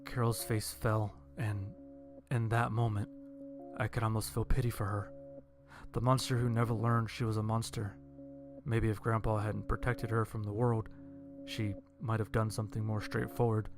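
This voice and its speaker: A man narrates a scripted story in a lowish, very even voice with little rise or fall. Soft music plays faintly behind him. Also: moderate pace.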